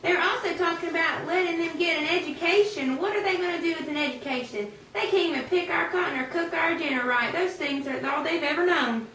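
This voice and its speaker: A woman's voice: extremely high pitch, a little fast, few pauses, a bit bright. A woman voicing an entitled, anxious Southern slave owner's wife in a puppet show, complaining scornfully. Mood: worried, scornful. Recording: roomy, distant, noisy amateur recording.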